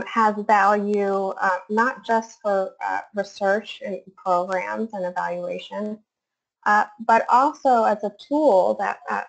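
A woman's voice: medium pitch, gravelly. A woman researcher giving a webinar presentation, hesitant, with many fillers and restarts. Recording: dull lo-fi webinar audio.

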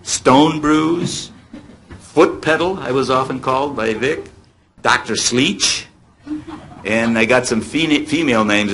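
Gravelly older American man, reminiscing. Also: medium pitch, fairly bright tone. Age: 70s. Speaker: former child radio actor on a panel. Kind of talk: reminiscing to an audience, taking questions. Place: hotel meeting room, panel table. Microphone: table microphone on stand. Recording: noisy hotel meeting-room amplification.

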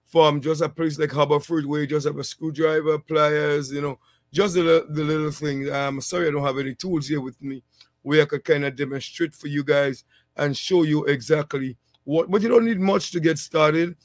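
A man in his thirties, a working technician from a small island, giving relaxed, rambling career advice on his channel with plenty of ums, seated indoors with a headset mic. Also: on the high side, moderate pace, dry recording. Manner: relaxed, rambling, many fillers. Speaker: channel host, HVAC technician. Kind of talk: casual advice vlog.

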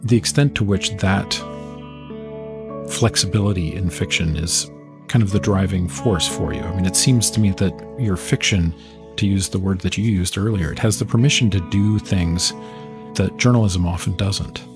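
Male podcast host, deep voice, measured and articulate, thoughtful literary interviewing, guitar music behind.

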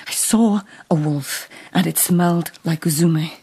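Husky, intense court lady. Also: fairly low pitch, unhurried, dark tone, full character voice. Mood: frightened, urgent. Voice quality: husky, breathy. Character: devoted, anxious court lady of old Japan. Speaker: actress in a radio drama. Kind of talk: radio drama acting.

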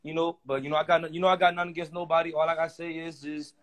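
A man's voice: fairly high pitch, little pitch movement, racing, fairly bright tone. A man in his twenties chatting as a guest on a phone livestream, slangy and insistent, stumbling over repeated restarts. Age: 20s. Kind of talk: casual chat. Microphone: phone front camera.

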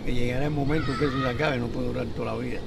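A man's voice: mid-range voice, fairly even intonation, very fast, vocal fry. A man in his seventies with a Cuban accent, a former tennis player talking emphatically in a casual interview at an outdoor court. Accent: Cuban Spanish. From Cuba. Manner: emphatic, repeats words as he talks. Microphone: handheld mic held by interviewer. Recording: distant handheld mic with outdoor noise.

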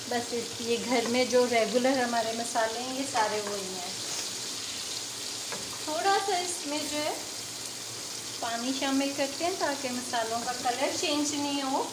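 High-voiced woman giving cooking steps. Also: unhurried, pauses often, heavy background noise. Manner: chatty, explains each step, frequent "ji" fillers. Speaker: home cooking channel host. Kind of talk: casual cooking demonstration. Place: home kitchen at the stove. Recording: echoey kitchen with loud sizzling.

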